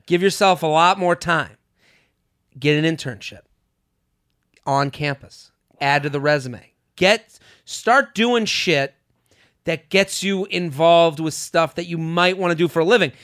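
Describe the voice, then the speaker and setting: A man's voice: a little high, measured pace, pauses often, fairly bright tone, no echo, studio quality. A man hosting a podcast, reading listener emails aloud and giving crude, joking advice in casual chat. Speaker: podcast host.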